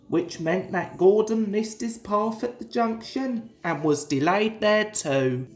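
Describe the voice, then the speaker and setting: A person reading a children's story aloud in a deliberate, dramatic storytelling style. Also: medium pitch. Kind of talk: expressive children's story narration.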